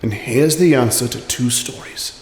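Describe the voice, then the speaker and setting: An actor playing a calm, commanding male detective who lays out his reasoning in a radio drama. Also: mid-range voice, echoey room, in a large hall, natural voice. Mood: explaining. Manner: measured, explaining.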